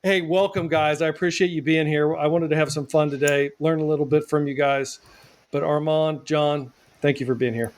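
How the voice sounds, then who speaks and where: A man hosting a casual podcast, joking with his guests and telling animated stories. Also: a little high, moderate pace. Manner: jokey, animated storyteller. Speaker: podcast host.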